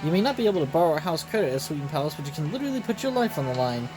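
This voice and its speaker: A man voicing a worldly casino-goer in a video game, explaining gambling dangers in a measured, cautionary way over music. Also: a little high, very animated. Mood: grave, cautioning. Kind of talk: reading game story dialogue aloud. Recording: loud game music behind the voice.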